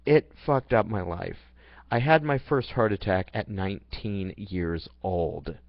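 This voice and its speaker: A man's voice: on the low side, a little slow, no room sound. A young man reading his personal life story aloud in an earnest, plain way, now and then stumbling and restarting. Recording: dull, dry lo-fi recording.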